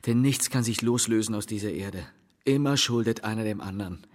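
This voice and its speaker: An actor playing a bitter, tormented husband in a literary radio drama, speaking in a solemn, declamatory and halting way. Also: male voice, fairly low pitch, natural voice. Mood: brooding. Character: bitter, brooding, fatalistic husband, resigned yet tormented. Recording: long hall reverb.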